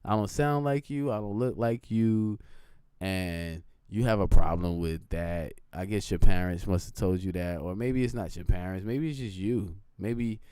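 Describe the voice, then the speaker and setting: Male streamer, low relaxed voice, informal off-the-cuff monologue, conversational check-ins, dry close-mic sound. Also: normal speed, smooth, no echo. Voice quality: deep, low voice. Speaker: live streamer. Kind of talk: casual rambling monologue to a stream audience.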